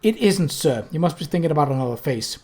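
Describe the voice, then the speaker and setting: A man voicing a fussy, nervous male wizard in a storybook reading, talking high and politely flustered. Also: lively intonation, fast, darkish tone, slightly rough.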